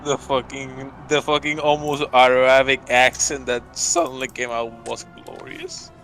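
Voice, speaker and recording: A man's voice: medium pitch, level tone, a little slow, a bit bright, soft background music, low-quality recording. A man chatting as a tabletop player, hesitant and swearing casually. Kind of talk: casual chat at a tabletop game.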